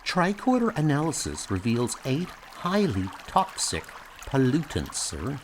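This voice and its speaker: A man's voice: mid-range voice, wildly swooping pitch, unhurried, slight background noise, voice pushed up. A man voicing a logical female alien starship officer in a comic audio drama, precise and formal. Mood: analytical.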